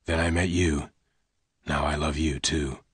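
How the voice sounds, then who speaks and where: A man doing a quiet, hard gunfighter. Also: level tone, a little slow, slightly rough, no echo, heavily acted. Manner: low, hushed, clipped speech. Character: calm, hard male gunfighter, quiet and blunt.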